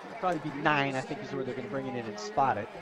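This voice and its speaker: A man's voice: mid-range voice, rapid-fire. Chatty American male color commentator, crowd behind. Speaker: radio color commentator for high school football. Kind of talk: conversational sports color commentary. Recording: loud crowd behind outdoor broadcast. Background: stadium crowd.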